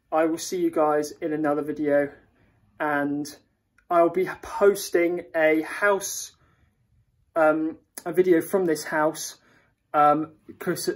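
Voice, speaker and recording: A young man filming a chatty, meandering tour of a small holiday cottage on a handheld phone, walking from room to room. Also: fairly high pitch. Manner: chatty and meandering, with fillers and self-corrections. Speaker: vlogger. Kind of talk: casual house tour. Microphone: phone camera held in hand.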